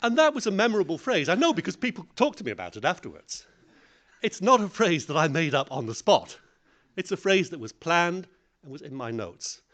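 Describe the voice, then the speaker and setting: Male conference speaker, lively pitch swings, self-deprecating, plain-spoken. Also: brisk. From English-speaking country. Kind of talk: lecture on public speaking.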